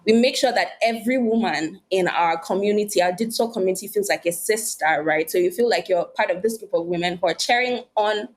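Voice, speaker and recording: A woman's voice: medium pitch, a little fast, few pauses. A young woman from Nigeria, answering interview questions in an articulate way and laughing easily. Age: young adult. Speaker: podcast guest.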